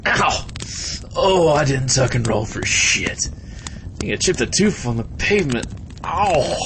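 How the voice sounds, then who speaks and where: A man acts out a banged-up, foul-mouthed fellow in an audio comedy. He complains sarcastically through pain, in a mid-pitched voice. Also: sing-song, unhurried, noisy background. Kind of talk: audio comedy drama acting.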